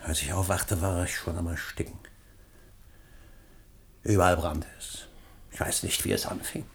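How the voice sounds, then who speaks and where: A man's voice: low voice, steady tone, normal speed, a little hiss or hum. A man playing a guilt-ridden, grieving middle-aged academic in a German radio drama, intense and desperate in manner. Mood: haunted confession. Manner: intense, swings from outbursts to hushed confession.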